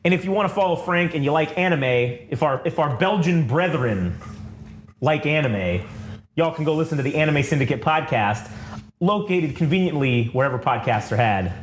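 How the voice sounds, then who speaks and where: A man's voice: fairly high pitch, normal speed, roomy sound, slight background noise. An American man hosting a podcast in casual chat, rambling and swearing freely. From United States. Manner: profane, rambling, animated. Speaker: podcast host.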